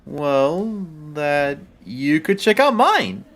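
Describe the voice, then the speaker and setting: A woman voicing a carefree, caring teenage schoolboy in an anime-style audio drama, earnest, teasing and expressive. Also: low voice, extremely slow, exaggerated voice. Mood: sheepish pleading.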